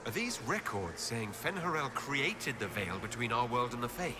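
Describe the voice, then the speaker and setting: An actor playing a composed, inquiring human leader in a video game, speaking earnestly. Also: male voice, mid-range voice, very animated, normal speed, natural voice. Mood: serious. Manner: earnest, questioning. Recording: loud outdoor-like ambient noise.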